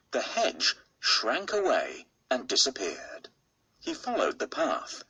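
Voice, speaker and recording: A man with a gravelly, husky voice reads a bedtime fairy tale slowly and softly. His tone is bright and sharp, and his pitch moves across a very wide range. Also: poor audio. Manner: slow, soft storytelling. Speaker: narrator. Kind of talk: storybook read-aloud.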